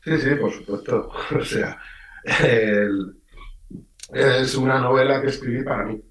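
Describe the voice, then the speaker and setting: Middle-aged Valencian-man, a novelist on radio, mid-pitched, lively teller of anecdotes, heard back in a roomy space. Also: slow pace, far from the mic. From Valencia region, Spain. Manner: lively storyteller, acts out voices in quotes. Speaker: writer being interviewed. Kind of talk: casual radio interview. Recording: roomy, off-mic radio sound.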